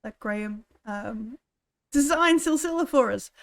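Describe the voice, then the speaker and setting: High-voiced woman running a tabletop game. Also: lively intonation, a little slow. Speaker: game master. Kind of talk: casual tabletop game chat.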